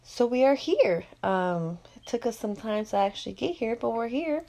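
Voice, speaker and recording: A woman in her twenties from Florida hosting a podcast, chatty, with quick follow-up questions. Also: on the high side, sing-song, dark tone. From Florida, USA. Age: 20s. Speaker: podcast host. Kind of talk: casual interview.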